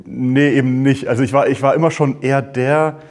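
A man in his early thirties talking about himself in an earnest, measured way. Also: mid-range voice, steady tone, darkish tone. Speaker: trainee pastor. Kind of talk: personal conversation.

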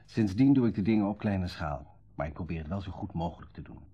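Radio drama: thoughtful young man, deep and breathy, modest, slightly muffled vintage sound. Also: expressive, fast talker, warm tone. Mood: reflective. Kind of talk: Dutch radio play acting, naturalistic dialogue. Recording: dull old radio recording.

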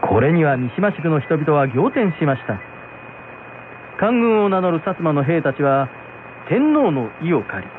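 A man tells an old folktale on the radio with animated, fairly high intonation. His delivery is polite and traditional. The sound is tinny, with music clearly behind him. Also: a little hiss or hum. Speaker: storyteller, narrator. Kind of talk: scripted folktale narration for radio.

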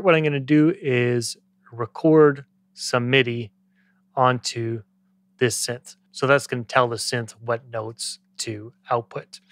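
Man in his thirties, mid-pitched voice, conversational step-by-step instructor, dry close recording. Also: a bit bright, no room sound. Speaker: music production tutorial instructor. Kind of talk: step-by-step software tutorial, conversational.

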